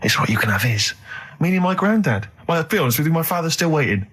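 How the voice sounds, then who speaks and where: A male radio co-host with a husky, slightly rough voice rattles along at a quick pace. He trades banter and tells comic anecdotes with wry, articulate phrasing. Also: a little high, very animated, a bit bright.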